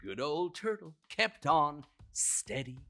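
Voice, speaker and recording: A woman narrating children's stories in a theatrical, expressive way, with drawn-out phrasing, gasps and chuckles. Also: low-pitched, creaky. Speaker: children's storyteller. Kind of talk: animated storybook narration for young children.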